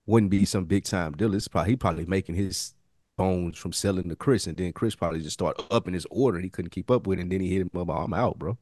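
A man's voice: low-pitched, fast talker, darkish tone, no echo, clean studio sound. A man co-hosting a podcast, explaining things in casual, slangy conversation. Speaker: podcast co-host reviewing a TV series. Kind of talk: casual chat.

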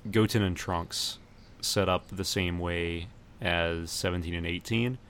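A man's voice: deep voice, fairly even intonation, unhurried, a bit bright, slight background noise. A man hosting a casual podcast chat, putting questions and opinions to the group. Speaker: podcast host.

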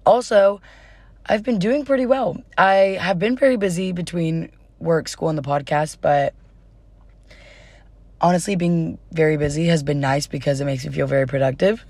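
A woman's voice: low-pitched, fairly even intonation, moderate pace, a little mellow, no echo. A young adult woman hosting a casual solo podcast in an informal, chatty way, her voice slightly worn as if she is starting to lose it. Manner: informal, giggly, uses y'all. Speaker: podcast host.

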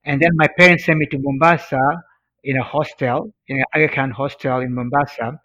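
A man's voice: medium pitch, steady tone, brisk, pushed and bright. A middle-aged man from Tanzania, a native Swahili speaker, telling a personal story in an interview in an earnest, emphatic way, repeating phrases for effect. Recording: dull, lo-fi sound.

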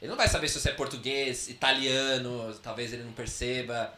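A man's voice: medium pitch, fast, bright, forceful tone, a little hiss or hum. Chatty host man in a roomy space. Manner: chatty, rambling storyteller. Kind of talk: casual interview chat. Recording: roomy echo.

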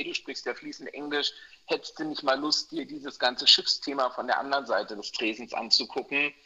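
Man in his forties, from Berlin, chatty with fillers, slightly high and very bright voice, muffled lo-fi sound. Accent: Berlin German. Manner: chatty, with frequent fillers and restarts. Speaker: mortgage broker guest. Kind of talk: relaxed interview chat.

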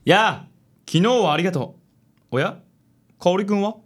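ラジオドラマで、自信に満ちた紳士的な気取った色男を演じる男性。. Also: male voice, sits high, expressive, a little slow, very noisy. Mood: breezy, suave. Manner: smooth, affected gallantry. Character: suave, self-assured handsome gentleman charmer. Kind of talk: radio drama acting.

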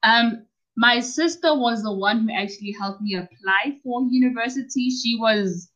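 Young South African woman, guest on a casual podcast, slightly high, relaxed and giggly, muffled lo-fi audio. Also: moderate pace. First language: Northern Sotho and Tsonga. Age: young adult. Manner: relaxed, chatty, laughs often. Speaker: guest, university student and podcaster. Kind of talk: casual interview chat.

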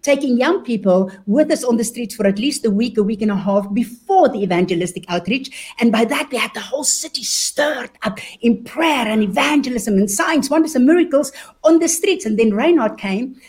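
A woman's voice: on the high side, expressive, normal speed, a little mellow, slightly rough. Earnest South African woman evangelist. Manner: earnest, fervent storytelling. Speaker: evangelist guest. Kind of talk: interview testimony.

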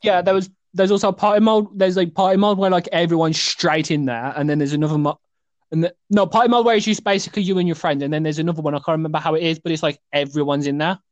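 An eighteen-year-old man talking on a laid-back podcast. He is hesitant and keeps restarting his sentences. His voice sits somewhat high for a man, recorded close and dry. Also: steady tone, fast, no room sound. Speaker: podcast co-host. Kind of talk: casual podcast chat.

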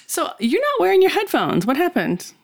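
A woman's voice: very high-pitched, wildly swooping pitch, moderate pace, gravelly. A woman with an American accent co-hosting a podcast in casual, unscripted chat. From United States. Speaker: podcast co-host. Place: small makeshift studio behind a cafe.

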